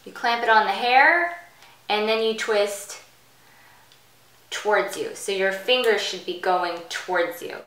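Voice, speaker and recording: A woman's voice: mid-range voice, measured pace, pauses often, fairly bright tone, roomy sound, rough recording. A woman hosting a casual step-by-step hairstyling tutorial, explaining in an instructional way.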